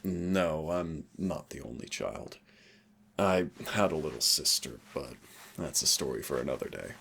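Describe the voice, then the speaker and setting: Deep, gravelly male demon played by a man. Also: animated, measured pace, a bit bright, a little hiss or hum, heavily acted. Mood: fond, nostalgic. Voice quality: very low, gravelly creaky voice. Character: teasing, rebellious centuries-old male demon hunter, wistful yet protective. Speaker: audio roleplay voice actor. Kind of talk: intimate second-person audio roleplay acting.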